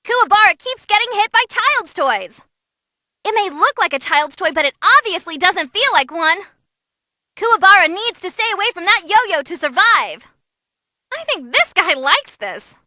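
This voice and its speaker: An actress voicing an excitable, cheeky young female ring announcer, giving animated, theatrical fight commentary in a video game. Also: very high-pitched, normal speed, no echo, clean studio sound, full character voice. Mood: wry commentating. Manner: perky, theatrical, wisecracking. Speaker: match commentator.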